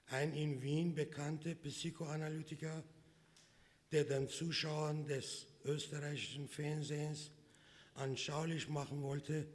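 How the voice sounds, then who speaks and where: A man whose first language is Persian, from Iran, reading a prepared speech at a conference in slow, measured phrases. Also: on the high side. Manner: slow, measured delivery with pauses between phrases. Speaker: conference speaker. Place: conference hall. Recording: reverberant hall recording.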